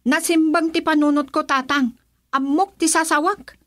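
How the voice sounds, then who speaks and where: A woman's voice: high-pitched, fairly even intonation, moderate pace, dry recording, studio quality, close to an everyday voice. An actress playing a headstrong young woman set on marrying for money in an Ilocano Filipino radio drama. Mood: defiant.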